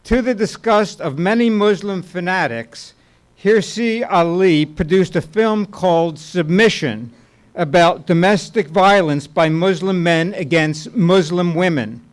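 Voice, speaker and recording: Slow, formal man introducing a speaker. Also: high-pitched.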